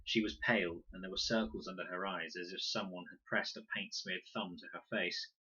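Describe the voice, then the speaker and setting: Forceful, husky-voiced man reading a story. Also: deep voice, steady tone, fairly quick, highs cut off. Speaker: story reader, teacher. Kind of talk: scripted narration, children's novel read aloud.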